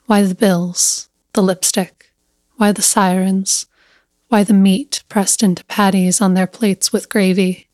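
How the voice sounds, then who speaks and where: Slow-paced woman narrating a story, dry close sound. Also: medium pitch, little pitch movement, darkish tone, no echo. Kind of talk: scripted literary story narration.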